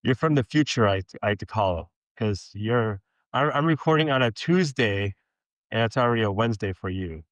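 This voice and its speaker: Midwestern podcast host, low voice. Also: male voice, lively intonation, fairly quick, fairly bright tone, no room sound. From Minnesota. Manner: friendly, conversational questioning. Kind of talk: podcast interview hosting.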